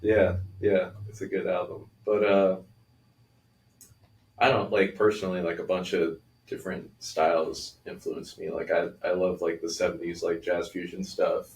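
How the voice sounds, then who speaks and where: A man in a band chatting in a relaxed, hesitant way on a podcast. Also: sits low, moderate pace. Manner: relaxed, hesitant, many fillers. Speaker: band member. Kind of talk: casual podcast chat. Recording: roomy echo, distant mic.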